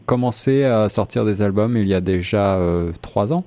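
A man's voice: fairly low pitch, moderate pace, mellow. A man hosting a radio show, interviewing a guest with long, winding, conversational questions. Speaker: radio host.

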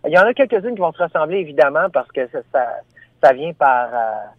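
A man's voice: on the high side, big pitch swings, fairly quick, dry recording. Franco-Ontarian man on a tinny phone line. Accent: Franco-Ontarian French. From Ottawa, Ontario. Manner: hesitant, many fillers and restarts. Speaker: singer guest. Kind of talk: phone interview. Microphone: telephone.

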